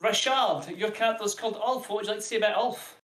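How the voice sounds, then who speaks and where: A man's voice: high voice, fast talker, a bit bright. A man hosting a streamed tabletop game as game master, chatty and jokey, rambling between players. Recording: echoey room.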